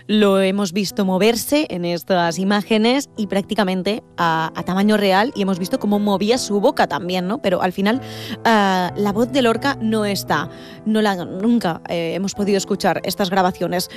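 A woman conducting an interview, asking measured questions with occasional fillers. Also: mid-range voice, soft background music.

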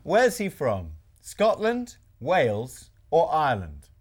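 Female lesson host, very deep voice, lively intonation, clear deliberate delivery. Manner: clear, deliberate model pronunciation. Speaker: language teacher host. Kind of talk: bilingual English lesson presenting.

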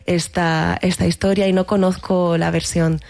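Brief: woman, 30s, from Catalonia, actress guest, measured and reflective, pauses and restarts. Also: on the low side, level tone, vocal fry, darkish tone. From Catalonia, Spain. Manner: thoughtful, many restarts and fillers. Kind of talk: reflective interview talk.

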